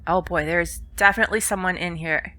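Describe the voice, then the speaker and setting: A woman's voice: fairly low pitch, fairly quick, pushed and bright. A woman hosting a let's play, commenting casually and curiously, congested from a cold. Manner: chatty, curious.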